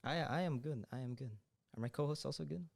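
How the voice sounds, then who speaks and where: A man's voice: mid-range voice, fast, creaky, a little mellow. A young man hosting a podcast, upbeat but hesitant, with many restarts. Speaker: podcast host. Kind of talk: casual podcast interview.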